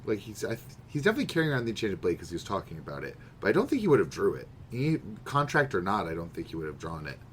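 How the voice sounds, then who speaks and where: A man's voice: deep voice, huge pitch swings, very fast, few pauses, fairly bright tone. A man chatting casually as a podcast co-host, with a wry, sarcastic, profane way of talking. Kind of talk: casual banter about manga. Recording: noticeable background noise.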